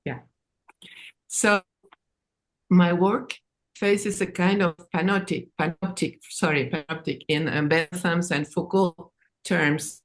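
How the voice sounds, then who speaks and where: Woman, English, slightly low voice, halting artist talk, repeated words, informal. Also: steady tone, rough recording. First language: not English. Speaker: artist and writer presenting own work.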